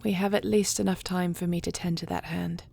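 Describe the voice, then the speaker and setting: A woman's voice: lowish voice, little pitch movement, fairly quick, gravelly, darkish tone, breathy edge, full character voice. A woman playing a devoted dragon queen and wife, fierce yet tender, speaking formally and intimately in an audio roleplay. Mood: composed, caring. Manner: intimate, formal storybook speech.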